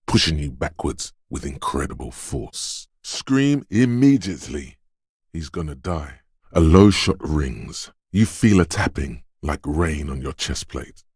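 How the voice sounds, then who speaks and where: A man voicing the quick-witted inner voice of a detective's instincts in a video game, narrating in a deliberate, alert and dramatic way. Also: very deep voice, breathy edge, no room sound, clean studio sound, full character voice. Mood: tense, dramatic.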